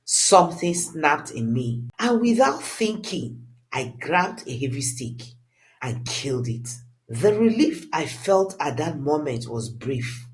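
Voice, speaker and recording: A narrator telling a scripted first-person story in a dramatic, deliberate and expressive way. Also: mid-range voice.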